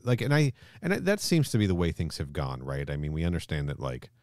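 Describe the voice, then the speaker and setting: A man's voice: very deep voice, huge pitch swings, fast talker, a little mellow, no room sound, studio quality. A man co-hosting a podcast, talking casually and emphatically, with some swearing. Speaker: podcast co-host. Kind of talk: casual discussion and film plot recap.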